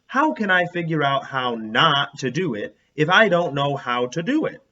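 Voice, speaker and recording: A man's voice: on the high side, big pitch swings, normal speed, pushed and bright. A man voicing an outspoken, inquisitive young schoolgirl, eager and questioning, in a storybook reading. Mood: puzzled, eager. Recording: dull, lo-fi sound.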